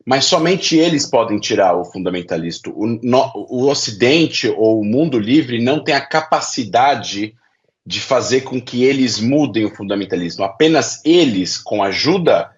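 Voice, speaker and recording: A man's voice: mid-range voice, lively intonation, moderate pace. Male interview guest, Brazilian accent. Analytical, emphatic explainer who repeats key phrases. Dull, lo-fi sound. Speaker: guest specialist on Middle East affairs.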